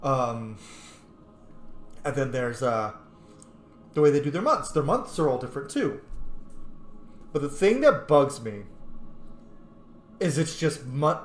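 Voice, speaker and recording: A man hosting a game playthrough with informal, opinionated roleplay commentary. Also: medium pitch. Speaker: gaming host. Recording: roomy echo, game music behind.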